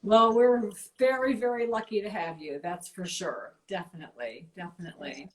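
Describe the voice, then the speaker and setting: Woman, mid pitch, upbeat host, welcoming, casual live-stream talk. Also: normal speed. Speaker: show host. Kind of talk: casual interview chat. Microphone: live video stream from home.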